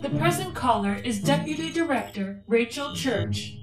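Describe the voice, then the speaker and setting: High, polite computer assistant. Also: female voice, lively intonation, moderate pace, over loud music. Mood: impassive. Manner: precise, formal, impassive. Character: polite, literal-minded female computer assistant. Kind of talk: audio drama acting.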